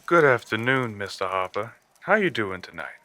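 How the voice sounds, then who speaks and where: A man's voice: on the low side, sing-song, normal speed, pushed and bright, dry recording. A man playing a sinister, gloating killer who phones a radio show, taunting and theatrical, in a radio drama. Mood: urgent, polite menace. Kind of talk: radio drama villain acting.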